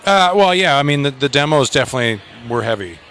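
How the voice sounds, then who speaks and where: A man's voice: mid-range voice, animated, fast, fairly bright tone, slight background noise. A man in a rock band chatting casually in an outdoor festival interview, joking and rambling with restarts.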